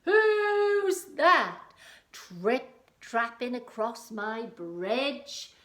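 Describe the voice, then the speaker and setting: A woman voicing a grumpy male bridge troll with loud, roaring exclamations in a storybook reading. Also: on the high side, very animated, drawn-out words, voice pushed up, full character voice. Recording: roomy echo.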